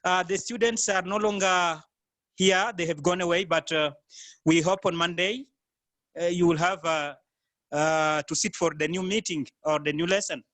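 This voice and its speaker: A man's voice: high-pitched, steady tone, moderate pace, fairly bright tone. A man from Congo, not a native English speaker, talking hesitantly while interpreting a class for students. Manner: hesitant, many fillers.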